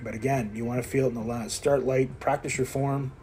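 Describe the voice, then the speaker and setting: A man's voice: medium pitch, fairly even intonation, fairly quick, warm tone. A man in his fifties from Quebec, talking casually while working out in a gym and coaching viewers in a blunt, self-mocking way. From Quebec, Canada. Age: 50s. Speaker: fitness vlogger. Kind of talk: casual workout commentary. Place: indoor gym.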